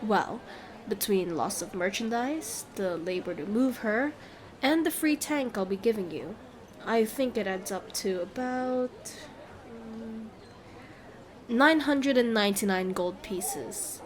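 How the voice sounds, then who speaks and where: A woman's voice: fairly high pitch, expressive, slow pace. A woman playing a smug, haggling female merchant with glib, theatrical sales patter in a fantasy audio drama. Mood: smug, wheedling. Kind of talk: scripted fantasy audio roleplay.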